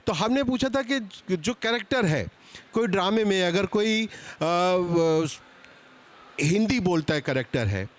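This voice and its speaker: High-voiced man moderating a seminar. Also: animated, measured pace. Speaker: panel moderator. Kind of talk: moderating a live seminar discussion. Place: live seminar on stage.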